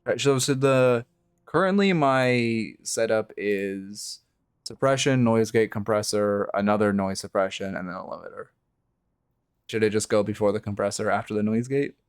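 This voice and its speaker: Gravelly low-voiced man streaming casually. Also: moderate pace, no echo, clean studio sound. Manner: thinks aloud, swears casually, low voice with high inflections. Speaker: game coach and streamer. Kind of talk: casual live-stream chat. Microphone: streaming microphone.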